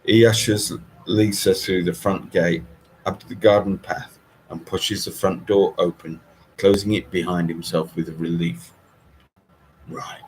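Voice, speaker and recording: A man reading a story aloud in an expressive audiobook style. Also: sits low, a little slow, a little hiss or hum, rough recording. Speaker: author reading own novel.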